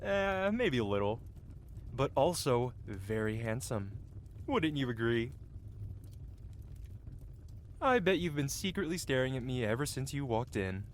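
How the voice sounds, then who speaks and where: A man's voice: medium pitch, big pitch swings, measured pace, long pauses, a little hiss or hum. A man playing a cocky, flirtatious medieval blacksmith in a fantasy ASMR roleplay, with a playful, teasing and theatrical way of talking. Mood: cocky, flirty.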